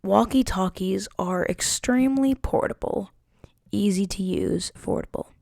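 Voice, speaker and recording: A woman's voice: medium pitch, normal speed, gravelly, a little mellow, no echo, studio quality. A woman narrating a student history project, reading carefully from a script with an occasional stumble. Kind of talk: scripted narration read aloud.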